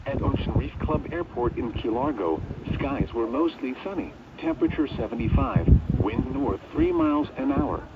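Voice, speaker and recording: A synthetic American man's voice reads a scripted weather bulletin over the radio in measured, impersonal, clipped phrases. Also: medium pitch. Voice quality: synthetic text-to-speech voice. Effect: weather radio broadcast. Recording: muffled weather radio, clear background noise.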